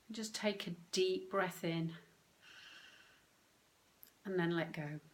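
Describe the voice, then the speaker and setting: A woman leading a guided meditation, speaking gently and unhurriedly in short phrases with long pauses. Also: on the low side. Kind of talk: guided meditation, soothing instruction.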